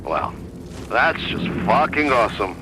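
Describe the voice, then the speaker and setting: An actor playing an excitable, sarcastic sidekick with emphatic delivery in a video game cutscene. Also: male voice, mid-range voice, measured pace, rough recording.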